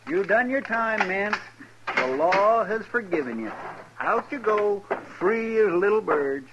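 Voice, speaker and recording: An actor playing a folksy, easygoing deputy in a 1950s radio western. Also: male voice, high voice, expressive, a little slow. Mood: jaunty. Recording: muffled, faintly noisy old radio recording.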